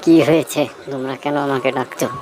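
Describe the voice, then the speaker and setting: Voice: mid-range voice, fairly even intonation, a little fast, a little mellow, close to an everyday voice. Stern cartoon village exorcist as a narrator plays it, urgent commands, level intonation, noisy track. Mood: alarmed.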